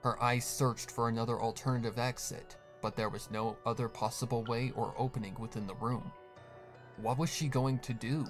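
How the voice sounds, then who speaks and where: A man narrating a horror fan story in a steady, hushed, dramatic voice. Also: medium pitch, moderate pace. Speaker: fanfic reader, narrator. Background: music.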